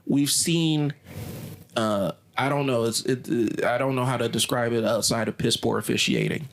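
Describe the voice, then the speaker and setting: A man's voice: medium pitch, creaky. Blunt male coach, opinionated podcast co-host. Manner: blunt, ranting, casual swearing.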